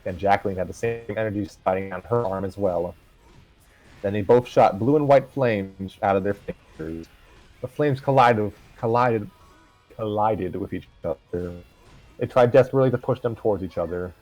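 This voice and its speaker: A man's voice: on the low side, normal speed, a little mellow, no room sound, faint music behind, low-quality recording. A man reading a fan story aloud on a livestream, casually, with stumbles and asides.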